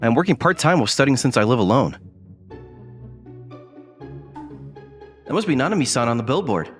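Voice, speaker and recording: A man's voice: mid-range voice, very animated, rapid-fire, lightly acted. A man playing a young college student, ordinary, guarded and self-deprecating, in an anime-style dub with a hesitant, dry, puzzled delivery. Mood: matter-of-fact. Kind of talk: anime-style dub with first-person narration and inner monologue. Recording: music behind the voice.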